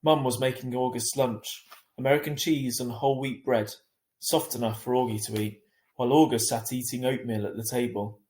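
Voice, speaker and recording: A man's voice: medium pitch, moderate pace, reverberant. A man reading a children's novel aloud as a teacher would, expressively and acting out the dialogue. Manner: animated, acts out the dialogue. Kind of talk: expressive audiobook-style narration of a children's novel.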